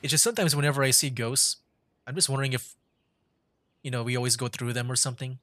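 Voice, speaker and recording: A man's voice: medium pitch, steady tone, quick pace, pushed and bright, no echo. A man giving casual live commentary as he streams a video game. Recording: game music behind voice. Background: video game music.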